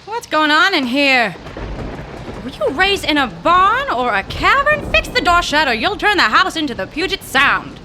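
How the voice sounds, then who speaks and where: A woman's voice: high voice, sing-song, normal speed, noisy background. An actress playing a brash, sceptical small-town woman in a vintage-style comic audio drama, sassy, blunt and folksy. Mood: scolding.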